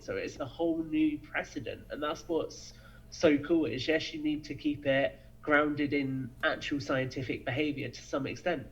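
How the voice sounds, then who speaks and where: A somewhat high-pitched man talks as a podcast guest, casual and enthusiastic. He speculates earnestly and eagerly. The sound is slightly muffled and lo-fi. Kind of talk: casual, enthusiastic fan discussion.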